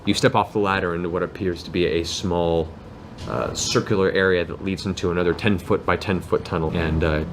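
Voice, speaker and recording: Guy running a tabletop game, lowish voice, descriptive storytelling, noisy room behind him. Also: fairly even intonation, a little fast. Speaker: game master. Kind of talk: improvised narration of a tabletop game. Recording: clearly noisy room ambience.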